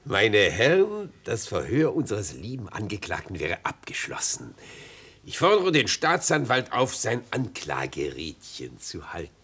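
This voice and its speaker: An actor playing a gleeful old retired judge in a radio play, ceremonious and theatrical, with a raspy, creaky voice. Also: male voice, on the low side, wildly swooping pitch, measured pace, fairly bright tone, exaggerated voice. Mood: festive, ceremonious. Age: older. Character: gleeful old retired judge presiding over a festive mock trial. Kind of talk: German radio play acting.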